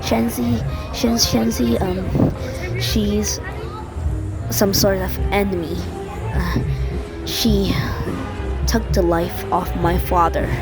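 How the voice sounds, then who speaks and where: Girl doing a solemn young animal hero, halting n pleading, slow talker, loud music behind, amateur cartoon drama. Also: mid-range voice, vocal fry, low-quality recording. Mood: earnest, pleading. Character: earnest grieving young animal hero seeking to save kingdom.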